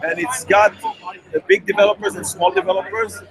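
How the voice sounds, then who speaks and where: Brief: man, company representative, fast pitch with restarts, voice a bit high. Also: steady tone, crowd noise, poor audio. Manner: fast, with many restarts and repeated words. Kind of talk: on-the-spot interview.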